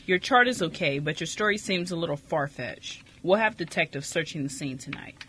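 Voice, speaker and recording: A man playing a skeptical police officer in an amateur radio drama, measured and formal as he questions a suspect. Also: fairly high pitch, animated, bright, forceful tone, slight background noise. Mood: skeptical, procedural.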